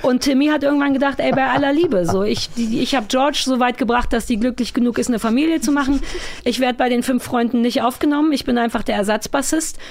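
A woman's voice: sits high, fast talker. A woman chatting casually and playfully as a guest, opinionated and slangy, with the odd English phrase thrown in. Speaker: guest on an audio drama magazine show. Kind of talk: playful improvised casual chat.

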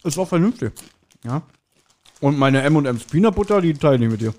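A man's voice: a little high, lively intonation, a little fast, dark tone. A man chatting casually and teasingly as a podcast co-host.

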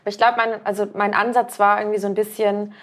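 Guest, female, about 30, mid pitch, casual and rambling storyteller. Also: level tone, fast talker. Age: around 30. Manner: chatty, rambling with fillers and restarts. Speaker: podcast guest, cook.

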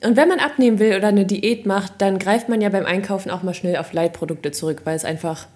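A woman's voice: mid-range voice, fast talker, a little mellow. A woman co-hosting a podcast, asking curious questions in a chatty, self-deprecating way. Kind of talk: casual podcast chat.

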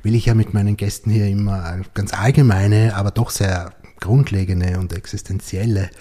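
A man with an Austrian German accent hosting a literary podcast, reading his guest's biography in a measured way before the conversation. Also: lowish voice, steady tone, warm tone. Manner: measured, reads a long list slowly. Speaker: podcast host.

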